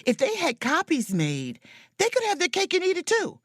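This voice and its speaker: A woman playing a confident, sharp-witted, proud and flirtatious sleuth in an audio drama, with an emphatic, theatrical delivery. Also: very high voice, huge pitch swings, moderate pace, no room sound, clean studio sound, heavily acted. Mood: scheming, excited. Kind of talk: audio drama acting with first-person narration.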